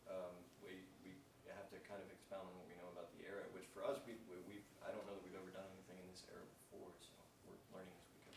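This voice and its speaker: A man's voice: sits low, monotone, very fast, few pauses. A man who acts on stage, giving a casual answer on a cast panel. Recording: echoey theatre, distant, noisy.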